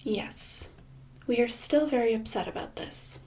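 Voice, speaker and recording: A woman playing a smug, controlling boss in a soft-spoken ASMR roleplay, with condescending, mock-sweet, drawn-out delivery. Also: a little high. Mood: smug, menacing. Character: smug, controlling female boss holding an employee captive.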